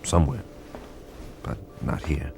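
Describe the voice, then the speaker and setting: Troubled man trapped on a spaceship, very deep voice, widely swinging intonation, brooding, theatrical radio drama. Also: lively intonation, a little slow, vocal fry, music in the background, heavily acted. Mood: brooding, hushed. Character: agitated, weary man confined aboard a spaceship. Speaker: lead actor narrating his own story.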